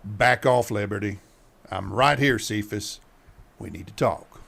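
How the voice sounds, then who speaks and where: A man playing a stern, threatening backwoods father and moonshiner in an audio drama, with a gravelly, husky voice. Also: fairly low pitch, measured pace, a bit bright, exaggerated voice.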